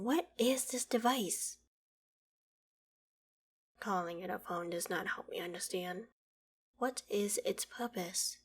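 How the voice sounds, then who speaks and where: A woman playing an ancient female dragon in an ASMR roleplay, proud and curious, speaking in a measured, formal, archaic way. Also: a little high, slightly rough, full character voice. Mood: baffled, awed. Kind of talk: ASMR roleplay audio drama, addressing the listener.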